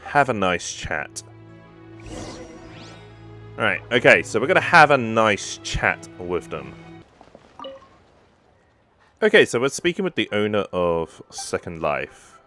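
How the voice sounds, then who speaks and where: A game streamer giving casual, low-key let's play commentary, narrating their own actions. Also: big pitch swings, takes it slowly, pauses often, pushed and bright, soft background music.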